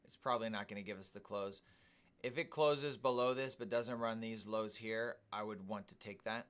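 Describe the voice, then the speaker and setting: Guy livestreaming his day trades, bright clean voice, chill play-by-play and saying hi to chat. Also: lowish voice, moderate pace, clear, resonant tone. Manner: calm running commentary, greets viewers in chat. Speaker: day trading livestream host. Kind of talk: live trading commentary.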